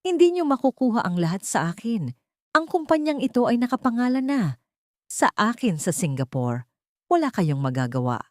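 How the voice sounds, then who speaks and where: A woman's voice: a little high, very animated, normal speed, darkish tone, no room sound, studio quality, pitched above the performer's own voice. A woman voicing a treacherous, blustering husband in an audio drama, indignant and defiant. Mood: angry, defiant. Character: treacherous, blustering husband caught in his crimes.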